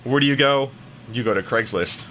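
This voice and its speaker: A man with an American accent talks in loose, casual banter. His voice is bright and mid-pitched, and he wanders through his thoughts hesitantly. There is faint noise in the background. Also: moderate pace, low-quality recording.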